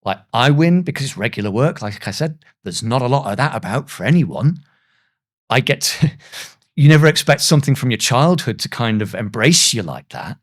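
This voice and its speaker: A man with a mid-pitched voice talks in a reflective interview style. He comes across as earnest and self-deprecating, often pausing haltingly mid-thought before carrying on conversationally. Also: very animated. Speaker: voice actor, podcast guest.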